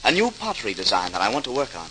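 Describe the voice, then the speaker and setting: An earnest master potter in an old radio drama. His voice is sharp and forceful, a little low, with an idealist's deliberate delivery. The recording is a crackly 1940s transcription with some noise. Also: wildly swooping pitch. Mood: earnest, idealistic.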